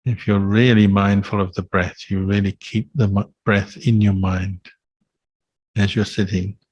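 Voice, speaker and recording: A man gives guided meditation instruction in a lowish, very slow voice. His delivery is calm and flat in melody, with gentle pauses between short phrases, as a monk teaching would speak. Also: husky, no echo, highs cut off.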